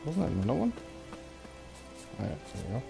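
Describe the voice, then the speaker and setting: A man's voice: mid-range voice, huge pitch swings, brisk, gravelly, over music. A man giving casual let's play commentary, muttering wry asides and reading game text aloud. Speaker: gamer playing and commenting.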